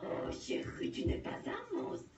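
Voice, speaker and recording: A woman voicing a gentle, worried mother with a raspy voice in a French-dubbed cartoon. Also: a little slow, close to an everyday voice. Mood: tender concern. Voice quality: croaky, raspy. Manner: tender, concerned. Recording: dull cartoon playback with crowd-like noise.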